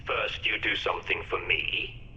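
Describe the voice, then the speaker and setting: A menacing, eccentric doctor from a video game, speaking in a sharp, whispery hiss that echoes in a long reverb. He is elaborately polite and mocking, savoring wordy sentences. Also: fairly low pitch, steady tone, normal speed, muffled recording. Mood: coolly manipulative. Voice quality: breathy, whispery. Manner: mannered, verbose, mockingly formal. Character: eccentric, menacing, polite mad hospital doctor, male villain. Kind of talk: theatrical video game voice acting.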